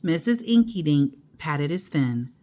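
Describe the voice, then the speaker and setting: A woman reading a children's picture book aloud in an expressive, playful way, with chatty asides. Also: low voice, measured pace. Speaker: storytime host and narrator.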